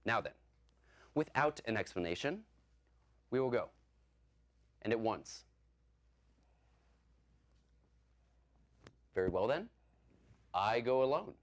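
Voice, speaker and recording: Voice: medium pitch, expressive, measured pace, pauses often, close to an everyday voice. An actor playing a pompous, power-drunk stage player who lords it over a troupe in grandiose, declaiming style. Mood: threatening. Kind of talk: theatrical stage play acting.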